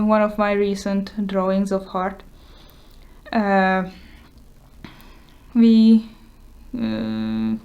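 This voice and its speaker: A woman's voice: mid-range voice, gravelly, darkish tone. A woman whose first language is Hungarian, talking casually and hesitantly with many fillers as she shows her journal. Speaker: vlogger showing her journal. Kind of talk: casual show-and-tell.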